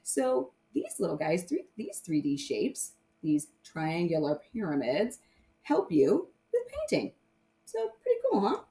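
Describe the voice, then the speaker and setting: A woman's voice: lowish voice, wildly swooping pitch, a little slow, mellow. A woman teacher explaining things informally to young children, often checking in with short tag questions. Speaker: primary math teacher.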